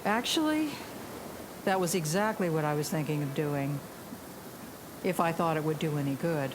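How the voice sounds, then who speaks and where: A woman's voice: sits low, normal speed, background noise, natural voice. An actress playing a plucky, guarded young woman pilot in an audio drama. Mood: wry.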